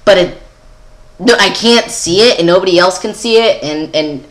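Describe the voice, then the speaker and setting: A man's voice: high voice, normal speed, a bit bright. A young adult man giving a casual, candid personal video update in a rambling, giggly, self-deprecating way. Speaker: vlogger.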